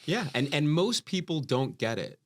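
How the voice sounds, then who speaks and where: A man's voice: on the high side, normal speed. A man co-hosting a real estate podcast, explaining economics in a casual, conversational way with frequent fillers. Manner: animated, conversational, many fillers. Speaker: podcast co-host. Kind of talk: casual panel discussion.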